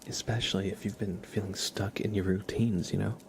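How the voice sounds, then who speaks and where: A man speaking softly and gently in a casual ASMR livestream style, often drifting into a whisper. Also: fairly low pitch, steady tone, fairly quick, gravelly, a little hiss or hum. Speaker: ASMR live streamer, host. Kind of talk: soft-spoken ASMR livestream, casual chat and tarot reading.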